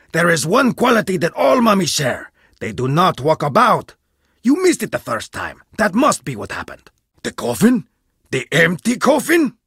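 A man's voice: high-pitched, fairly bright tone, breathy edge, no echo, studio quality, exaggerated voice. An actor playing a stern, scornful male archaeologist in a video game, harsh and commanding as he scolds his student. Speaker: archaeologist mentor.

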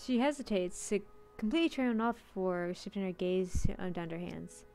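A woman with a mid-pitched voice reads visual-novel narration aloud as a streamer. She drops into casual asides, and music plays softly behind her. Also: expressive, brisk, clean tone. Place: indoor streaming room.

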